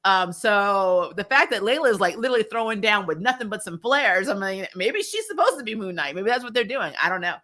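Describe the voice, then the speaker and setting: A woman giving a casual, opinionated review in an animated, sweary way, acting out bits of mock dialogue. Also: medium pitch, a little fast, hardly any pauses. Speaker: host of a TV series review show.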